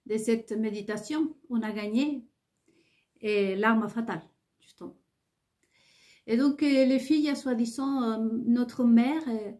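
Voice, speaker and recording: Slow, halting woman, non-native French. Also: fairly high pitch, warm tone. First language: not French. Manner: halting, many hesitations and restarts; insistent, repeats herself. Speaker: vlogger. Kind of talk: rambling monologue to viewers.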